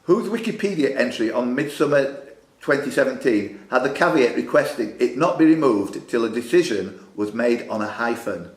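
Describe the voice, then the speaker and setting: A man's voice: mid-range voice, animated, moderate pace. A man hosting a live pub quiz, reading out questions and teasing the contestants. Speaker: quiz master. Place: indoor club room. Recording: echoey room, clear background noise.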